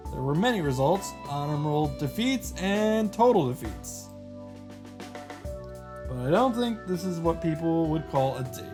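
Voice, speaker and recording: A man reading visual novel text aloud and adding his own animated reactions and summary commentary. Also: fairly high pitch. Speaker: visual novel streamer and narrator.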